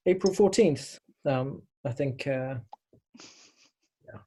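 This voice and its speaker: A man's voice: medium pitch, takes it slowly, mellow, breathy edge. A man chatting casually as a podcast co-host over an internet call, hesitantly searching for his words. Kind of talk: casual chat.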